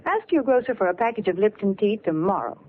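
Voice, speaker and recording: A woman's voice: medium pitch, big pitch swings, fast talker. A woman delivering a scripted old-time radio commercial in a cheerful, persuasive manner. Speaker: show's commercial spokeswoman. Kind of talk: scripted 1940s radio advertising. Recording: faintly noisy lo-fi vintage radio recording.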